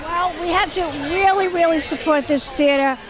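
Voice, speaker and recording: Brooklyn-accented woman, gala red-carpet host, high-pitched and flamboyant, all darlings and kisses. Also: moderate pace, crowd noise. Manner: flamboyant, calls everyone darling. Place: outdoors at an evening gala.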